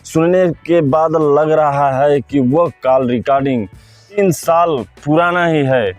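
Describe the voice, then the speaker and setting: A man's voice: fairly high pitch, slow, no room sound, faint music behind. A man with a Bhojpuri-influenced Hindi accent giving informal gossip commentary, repeating himself and putting questions straight to viewers. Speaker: host of a film gossip video channel.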